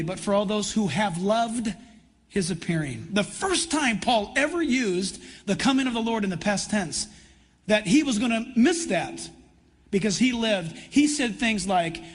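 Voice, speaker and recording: Casting: American man as a conference pastor, raspy, earnest and conversational, speaking in a long-reverb church hall. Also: high-pitched, animated, moderate pace. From California, United States. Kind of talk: conference address in sermon style. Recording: reverberant large hall, live PA sound.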